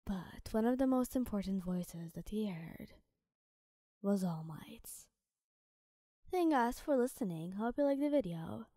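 A woman with an Arabic-tinged English accent narrating a fan story, often in a soft whisper. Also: mid-range voice, lively intonation, a little slow, no echo, studio quality. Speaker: ASMR storyteller.

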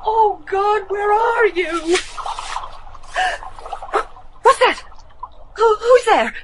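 A frightened wife in a gothic radio play. Her very high voice trembles and pleads, dragging out words breathlessly, with faint noise behind her. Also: very slow, full character voice. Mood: fearful, tearful. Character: terrified working-class wife searching for her husband. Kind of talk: gothic horror radio drama acting.

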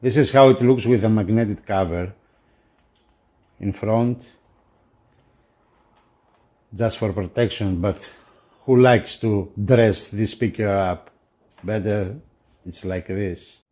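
A male reviewer talks through an unboxing in a lowish, mellow voice. His delivery is very slow, with halting, broken phrasing as he describes what he handles. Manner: halting, broken phrasing in non-native English. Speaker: product reviewer for a magazine. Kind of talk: unboxing commentary.

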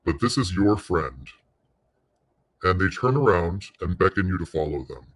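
A man leading a guided meditation in a soothing, unhurried way, pausing between short phrases. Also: low-pitched, big pitch swings, fairly bright tone, breathy edge.

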